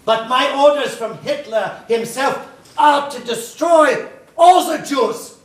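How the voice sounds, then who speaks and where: A man's voice: extremely high pitch, slow pace. A man acting a fanatical, menacing Nazi officer in a stage play, with pompous, declamatory, volatile delivery. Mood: cold fanatical hatred. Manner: pompous, declamatory, with bursts of shouting. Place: indoor theatre stage, recorded from a distance. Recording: echoey stage hall, distant mic.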